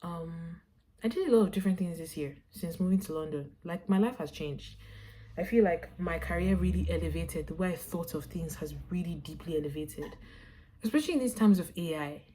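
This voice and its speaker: A young woman with a slightly low voice chats into a personal video diary, over a faintly noisy recording with clicks. She rambles casually and reflectively, candid and sure of herself. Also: a little fast, warm tone. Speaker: vlogger and visual artist.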